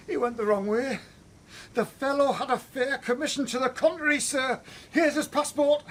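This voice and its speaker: An actor playing a nervous, deferential hangman in a radio tragedy, halting and fawning as he addresses his better. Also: very high voice, steady tone, fairly bright tone. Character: flustered, deferential servant, a nervous comic hangman. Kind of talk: Elizabethan tragedy radio acting. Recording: clear background noise and ambience.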